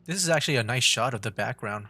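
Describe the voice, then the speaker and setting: A man's voice: medium pitch, fast. Laid-back man streaming a game. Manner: relaxed, offhand, chuckles at the story. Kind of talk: casual gameplay commentary. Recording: mic voice over game music.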